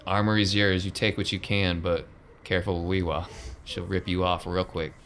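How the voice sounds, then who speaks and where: A man plays a gruff sci-fi hangar boss in a tabletop game, speaking in a low voice. He is blunt and protective, with clipped, folksy phrasing. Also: level tone, normal speed, a bit bright. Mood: protective, matter-of-fact. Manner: terse, blunt, drawling folksy grammar. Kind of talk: improvised tabletop roleplay.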